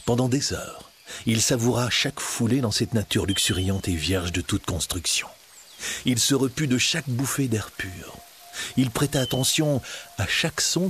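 A man narrating a storybook tale in an expressive, scripted reading. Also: on the low side, slight background noise.

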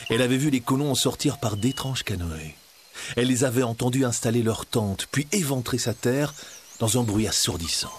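A man narrating a storybook tale in an expressive, scripted reading. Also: medium pitch, slight background noise.

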